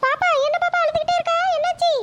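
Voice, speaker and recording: A woman voices a furious village mother in a comedy skit, speaking. Her voice is extremely high and she rattles off scolding fast. Loud noise and clicks sit under the recording. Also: flat delivery. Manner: rapid shrill scolding. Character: angry mother, daughter-in-law, loud and quick to blame.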